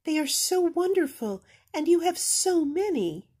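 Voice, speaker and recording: A woman's voice: extremely high pitch, sing-song, unhurried, mellow, voice pushed up, heavily acted. A woman voicing a small, eager, pleading little blue fish in a children's storybook.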